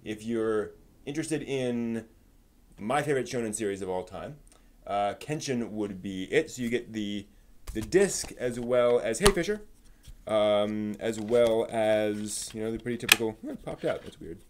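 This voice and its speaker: A man's voice: on the low side, unhurried, a little hiss or hum. A man hosting a live stream in a casual, chatty style, rambling and thinking aloud as he goes. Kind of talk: casual live stream chat about anime news and giveaways.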